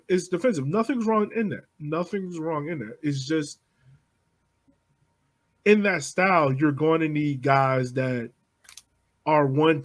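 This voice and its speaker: A man co-hosting a casual sports podcast, easygoing, asking the others questions and pushing back gently. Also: on the high side. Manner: easygoing, leads with questions, measured pushback. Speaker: podcast co-host.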